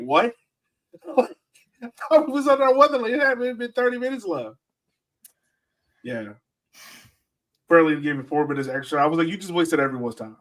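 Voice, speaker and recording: A man's voice: a little high, a bit bright, distant mic. A man co-hosting a sports podcast, chatting casually and telling stories in slangy, acted-out quotes. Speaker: podcast co-host.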